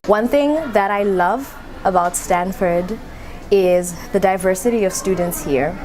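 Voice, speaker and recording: A woman's voice: mid-range voice, unhurried. A young woman, a university student, giving a casual answer in an interview. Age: young adult. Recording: noticeable background ambient noise.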